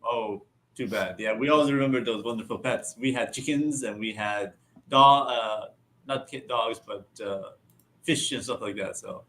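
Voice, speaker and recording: A man's voice: lowish voice, moderate pace, fairly bright tone, slight background noise. A man hosting a casual interview show, upbeat and effusive, often laughing. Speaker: host.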